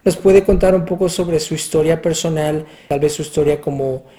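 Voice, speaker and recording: An interviewer asking measured questions, with hesitant fillers. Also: mid-range voice, mellow.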